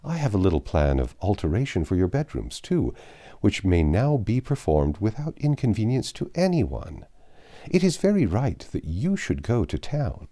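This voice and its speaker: A man's voice: on the low side, very animated, normal speed, mellow. A man voicing a fond, coaxing mother. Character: warm, fond older mother, woman.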